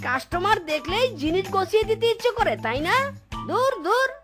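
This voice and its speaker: A man's voice: extremely high pitch, sing-song, fairly quick. A man voicing a sly, boastful young fox thief in a cartoon, talking cheekily to himself. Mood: indignant. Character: sly thieving young fox trickster, male. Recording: cartoon voice over loud music.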